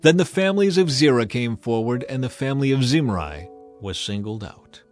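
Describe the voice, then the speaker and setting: A man's voice: medium pitch, lively intonation, normal speed. A man reading scripture as a scripted, dramatized audio Bible narration. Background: background music.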